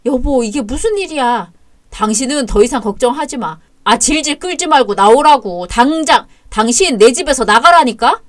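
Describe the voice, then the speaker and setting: A woman's voice: high-pitched, expressive, normal speed. A woman voicing an angry young husband who orders his mother out in a cold, harsh tone. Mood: furious. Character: furious protective young husband.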